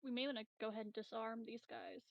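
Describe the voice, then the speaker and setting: A woman's voice: a little high, fairly even intonation, fast, creaky, no room sound, muffled recording. A woman chatting casually as a player in a tabletop game. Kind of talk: casual chat.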